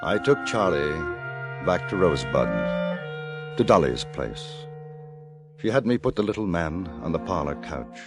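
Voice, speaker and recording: An actor playing an earnest newspaper correspondent in an Old West radio drama, narrating with dramatic intensity. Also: male voice, sits low, big pitch swings, unhurried, long pauses, heavily acted. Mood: somber, subdued. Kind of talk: 1950s radio western acting, dramatic first-person narration. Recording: old radio recording, music behind.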